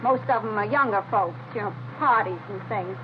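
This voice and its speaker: A woman's voice: mid-range voice, normal speed, lightly acted. An actress playing a plain-spoken, chatty older woman neighbor, wistful and grumbling, in a vintage radio crime drama. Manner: chatty, matter-of-fact, complaining. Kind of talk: 1940s-50s radio crime drama acting. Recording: noisy, dull vintage radio recording.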